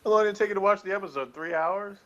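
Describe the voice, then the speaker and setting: A man's voice: high-pitched, very fast, fairly bright tone, highs cut off. A man co-hosting a casual TV episode review, sarcastic and mock-exasperated in his manner.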